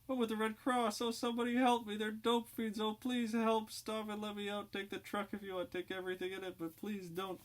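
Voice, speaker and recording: A man's voice: high-pitched, flat delivery, fairly quick, hardly any pauses, pitched above the performer's own voice, lightly acted. A man voicing a frantic young Red Cross canteen girl in an audiobook reading, pleading and shrieking.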